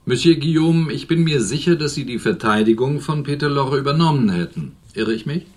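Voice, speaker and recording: Actor as courteous, probing TV interviewer. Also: male voice, medium pitch, animated, fairly quick, a little mellow, lightly acted. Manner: formal, polite, probing. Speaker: actor in a radio crime drama. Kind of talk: German radio drama acting, interview.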